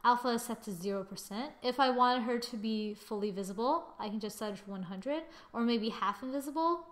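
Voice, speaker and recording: Female tutorial presenter, slightly high voice, informal step-by-step delivery, thinking aloud. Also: brisk. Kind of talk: casual software tutorial narration.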